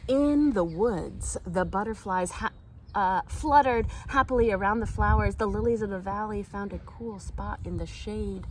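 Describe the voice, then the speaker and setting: A woman reading a children's picture book aloud in an expressive, animated storytime style. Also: medium pitch, slight background noise. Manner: animated, acts out the characters' lines. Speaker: storytime reader.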